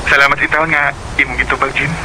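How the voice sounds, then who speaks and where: A man playing an earnest, gentle young suitor in Cebuano radio drama, with a Cebuano accent. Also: mid-range voice, level tone, fast, noisy background, close to an everyday voice. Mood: shy, earnest.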